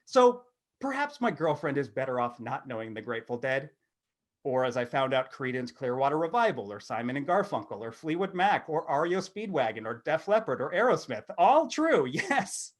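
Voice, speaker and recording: A middle-aged American man co-hosting a podcast, talking in an animated, rambling, self-deprecating way, between comic monologue and casual chat. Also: mid-range voice, normal speed. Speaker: podcast co-host.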